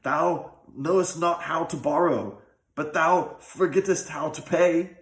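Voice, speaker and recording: A man voicing a grasping, quick-tempered rich man in a folk tale, scolding in archaic speech. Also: fairly high pitch, pitched above the performer's own voice. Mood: angry, scolding. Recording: roomy, echoing sound.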